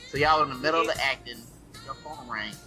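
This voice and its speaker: A man hosting a raunchy comedy podcast, telling stories loudly with animated impressions. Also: medium pitch, fast. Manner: loud, animated storytelling with voiced impressions. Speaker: podcast host. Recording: music playing under the talk.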